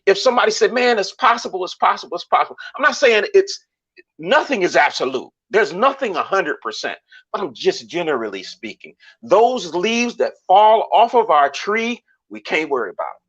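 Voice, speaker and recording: A man with a Southern accent, from Savannah, Georgia, hosting a casual motivational talk. His voice sits high and swings widely as he preaches, stopping and restarting often. The audio is dull and lo-fi. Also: moderate pace, slightly rough. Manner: animated, preachy, many restarts. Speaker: show host.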